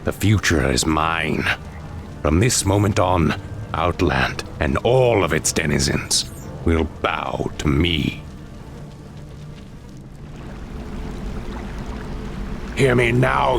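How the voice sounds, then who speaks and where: Deep, slow, proud demon lord. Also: male voice, expressive, gravelly, full character voice. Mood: triumphant, domineering. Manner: grandiose, deliberate, commanding. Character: proud, menacing demon lord, cold and ambitious. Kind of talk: dramatic fantasy game cutscene acting. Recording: dramatic music under the voice.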